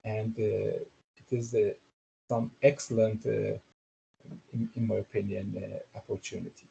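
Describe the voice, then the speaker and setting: A man's voice: lowish voice, level tone, measured pace, creaky, dark tone, lo-fi sound, slight background noise. A middle-aged man, a former professor now in graduate studies, speaking on a video call. He talks hesitantly, with many fillers and repeated "do you know". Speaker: former university professor, education graduate student. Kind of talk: casual academic discussion.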